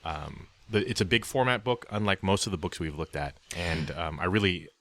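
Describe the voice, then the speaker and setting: A man co-hosting a casual review podcast, with a wry, measured way of talking and somewhat formal wording. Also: sits low, fairly bright tone. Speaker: podcast co-host.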